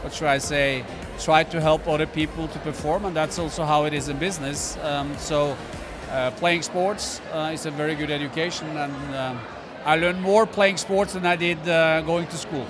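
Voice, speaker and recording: A man speaking as a business executive on a red carpet, measured and modest, with frequent hesitations. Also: on the high side, steady tone. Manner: measured, modest, with many uh's. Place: indoor event red carpet with music. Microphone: handheld mics held by interviewers. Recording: noisy event with loud music.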